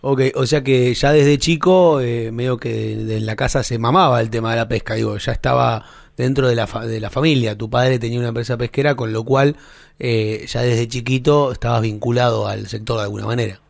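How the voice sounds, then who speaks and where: A man with an Argentine Spanish accent hosting a radio interview, chatty and warm, often repeating words as he goes. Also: medium pitch, fairly even intonation, fairly quick, gravelly, no room sound. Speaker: radio host.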